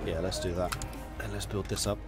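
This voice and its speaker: A lower-voiced man streams a strategy game, his voice stuffy and congested from a cold. He chats with viewers and mutters his plans half to himself. Soft music plays faintly behind him. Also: level tone, a little fast. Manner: chatty, muttering to himself while planning. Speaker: gaming streamer. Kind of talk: casual live gameplay commentary.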